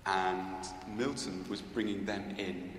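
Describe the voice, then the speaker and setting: A man's voice: sits low, steady tone, slow pace, faint music behind. A man from Scotland, a cellist, answering audience questions in a church, thoughtful and hesitant with many fillers. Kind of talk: unscripted Q&A answers. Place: large church. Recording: echoey church with background noise.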